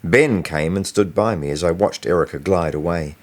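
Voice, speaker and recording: A man reading his own novel aloud as expressive, scripted audiobook narration. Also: low-pitched, moderate pace.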